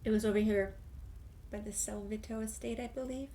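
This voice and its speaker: A woman's voice: mid-range voice, fairly even intonation, fairly quick, warm tone, slight background noise. A woman hosting a gameplay video, thinking aloud in casual, rambling commentary. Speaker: gaming video host.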